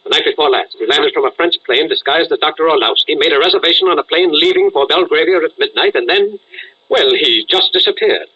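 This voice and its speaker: An actor playing a brisk, irritable American intelligence colonel in 1940s radio drama style, with clipped, urgent delivery. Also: male voice, fairly high pitch, sharp tone, breathy edge, lo-fi sound. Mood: exasperated.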